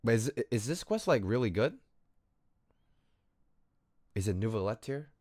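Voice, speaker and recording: Animated young man chatting on a livestream. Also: fairly low pitch, a little slow, noisy background. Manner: slangy, swearing, rambling, repeats "like". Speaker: game streamer. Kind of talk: casual livestream chat while gaming. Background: game music.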